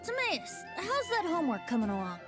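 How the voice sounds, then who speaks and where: A woman's voice: extremely high pitch, wildly swooping pitch, brisk, loud music behind the voice, full character voice. A woman voicing a breezy, teasing boyish young male bard in a video game, with a theatrical manner. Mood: light, friendly.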